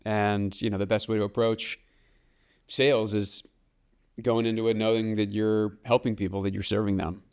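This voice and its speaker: A man in his late thirties from the Washington DC area, a podcast guest speaking in a measured, thoughtful way with frequent ums. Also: on the low side, little pitch movement, darkish tone, clear, resonant tone. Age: late 30s. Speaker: guest, real estate broker. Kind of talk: casual podcast interview.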